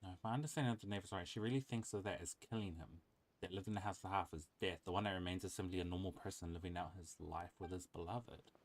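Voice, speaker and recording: A man's voice: sits low, fast. A man streaming a game, giving casual live commentary and chatting to viewers in a friendly, welcoming way.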